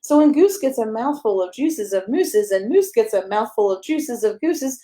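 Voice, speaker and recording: A woman's voice: on the high side, expressive, normal speed, dark tone. A woman reading a rhyming children's picture book aloud as a storyteller, in a playful, sing-song way with emphasis on the rhymes.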